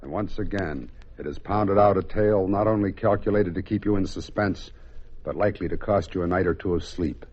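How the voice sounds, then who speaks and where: A man's voice: low-pitched, brisk, darkish tone. A man giving a dramatic, scripted introduction as host of a vintage radio drama. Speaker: host announcer. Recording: muffled vintage radio recording.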